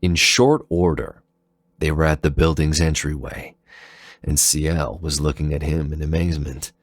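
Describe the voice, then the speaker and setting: A man's voice: very deep voice, level tone, normal speed, no room sound, studio quality. A man reading a scripted dramatic story as its narrator.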